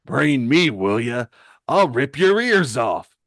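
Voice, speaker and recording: A man voicing a hot-tempered villain brute from a comic, shouting threats. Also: medium pitch, a little slow, dry recording, clean studio sound, lightly acted. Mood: enraged.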